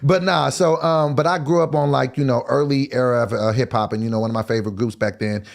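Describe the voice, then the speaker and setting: A man's voice: mid-range voice, fairly even intonation, fast talker, gravelly. Host, man in his forties, mid-range voice, easygoing, loose rambling questions with plenty of "gotcha". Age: 40s. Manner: laid-back, asks long rambling questions, says "gotcha" a lot. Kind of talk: casual interview chat. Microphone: live stream call.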